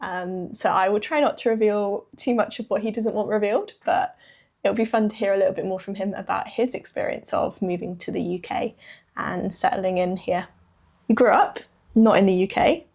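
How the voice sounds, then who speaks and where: A woman's voice: mid-range voice, steady tone, normal speed, vocal fry, darkish tone. A woman from the UK interviewing her husband on a podcast, asking questions in a playful, teasing way. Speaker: interviewer, guest's wife.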